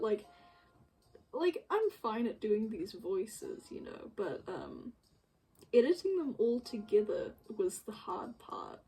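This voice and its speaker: A woman's voice: fairly high pitch, a little mellow, poor audio. A woman chatting casually and rambling as she works, giggly and self-deprecating. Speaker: streamer making visual novel artwork. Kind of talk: casual chat while working. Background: music playing behind the voice.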